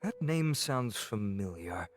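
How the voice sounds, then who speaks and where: An actor playing a courteous, attentive male officer in a video game, polite, measured and reassuring. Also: lowish voice, very animated.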